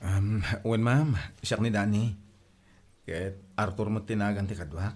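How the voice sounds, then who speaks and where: Man acting a deepish-voiced humble father. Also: fairly even intonation, unhurried, a little mellow. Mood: polite. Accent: Ilocano. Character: polite, hardworking rural father looking for work. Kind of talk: Ilocano audio drama acting.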